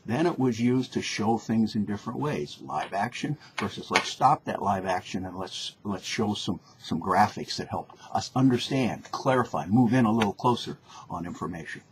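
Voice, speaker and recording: A man with a fairly low voice explains like a lecturer, informal and instructive. He rephrases points for emphasis. There is faint noise behind him. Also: animated, normal speed.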